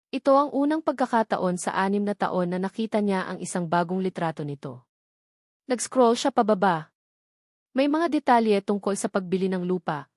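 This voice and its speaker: A Filipino woman reads a dramatic story as its narrator. Her voice sits at an ordinary pitch for a woman. The sound is close and dry, with no room on it. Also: darkish tone, no echo.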